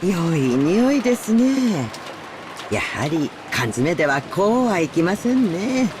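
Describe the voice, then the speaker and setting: Casting: cheerful old maidservant, polite and theatrical, highly animated pitch swings, game dialogue over rain. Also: female voice, moderate pace. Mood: pleased. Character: cheerful cackling elderly female servant. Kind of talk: Japanese visual novel voice acting. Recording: rain noise behind dialogue.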